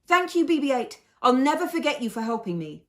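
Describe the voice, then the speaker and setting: A woman's voice: high voice, big pitch swings, fairly quick, voice pushed up. A woman voicing an eager, loyal, earnest droid in a children's storybook. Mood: grateful. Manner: exclamatory, earnest.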